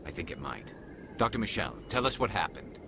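A man's voice: sits low, very animated, fairly quick, background noise. A man playing a dogged, righteous alien investigator in a video game, speaking with earnest determination. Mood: focused, concerned. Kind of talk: video game character dialogue.

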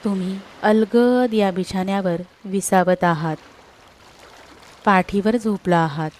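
A woman narrating a scripted sleep meditation in a soothing, unhurried manner, leaving long pauses between short phrases. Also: mid-range voice, darkish tone, a little hiss or hum.

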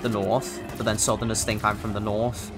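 A man's voice: fairly low pitch, level tone, normal speed, over music. A young man with a hybrid North London and Liverpool accent, giving chatty, rambling, slangy gameplay commentary. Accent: hybrid of North London and Liverpool English. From England (North London, grew up in Liverpool). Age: young adult. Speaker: gaming video creator.

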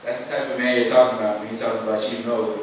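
A man's voice: mid-range voice, level tone, very fast, slight background noise. Earnest young man in echoey chamber. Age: young adult. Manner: earnest, plainspoken. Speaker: youth commission member. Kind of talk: public comment speech. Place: council chamber. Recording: echoey far-off chamber audio.

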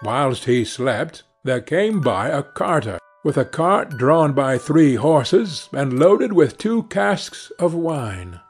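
Slow, expressive male storyteller. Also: on the high side, slightly rough, faint music behind. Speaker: audiobook narrator. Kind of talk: scripted fairy-tale narration.

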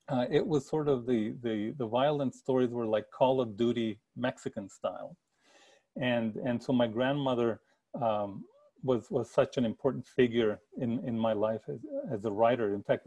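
Middle-aged man telling stories, mellow voice. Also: mid-range voice. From El Paso area, Texas. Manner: earnest, gestures a lot, frequent restarts. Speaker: author giving a book talk. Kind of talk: personal storytelling. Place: attic room at home. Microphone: video call with earbuds.

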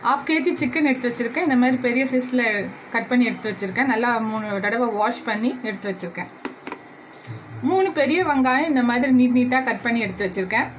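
Woman explaining a home cooking recipe. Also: fairly high pitch. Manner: unhurried step-by-step instructions, addressing viewers directly. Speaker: cooking channel host. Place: home kitchen at the stove. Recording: noisy home recording.

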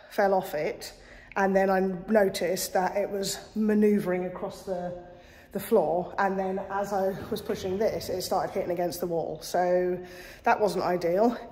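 A woman's voice: mid-range voice, steady tone, unhurried, dark tone, slight background noise. A woman chatting casually to camera in a wry, self-deprecating way as she trains. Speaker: vlogger, injured athlete training. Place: small hotel gym, indoors. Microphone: camera at arm's length. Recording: echoey, reverberant hard-walled room.

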